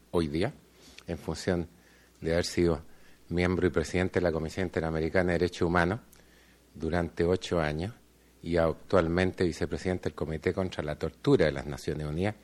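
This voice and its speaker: A deep-voiced middle-aged man addresses a panel in a conference hall. He speaks in a measured, formal way and often stops on small fillers as he builds his points. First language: Spanish. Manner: measured, hesitant with frequent fillers. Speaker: panel speaker, law school dean.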